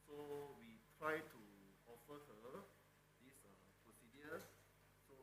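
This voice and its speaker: A male doctor with a Malaysian English accent hesitantly presents a patient's history. The recording is roomy and echoey. Steady background noise runs underneath. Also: medium pitch, takes it slowly. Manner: hesitant, many fillers.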